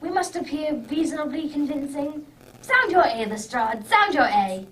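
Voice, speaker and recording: A woman playing a brisk, impatient, clever male detective with theatrical emphasis in an amateur radio drama. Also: high voice, animated, a bit bright. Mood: commanding. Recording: distant microphone, background noise.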